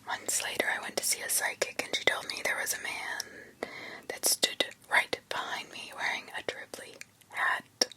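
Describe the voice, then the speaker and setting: A woman whispering spooky stories ASMR-style, pausing to react with disbelief and the odd swear. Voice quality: whispered throughout. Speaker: ASMR storyteller reading scary stories.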